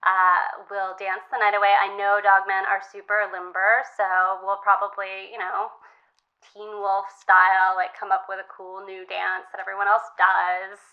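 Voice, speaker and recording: A woman's voice: mid-range voice, flat delivery, a little slow, lo-fi sound, close to an everyday voice. A woman playing an eager, naive, cheeky sixteen-year-old girl in an improvised comedy phone-in, chatty and unabashed. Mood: dreamy, eager. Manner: chatty teenage filler talk, earnest and unabashed.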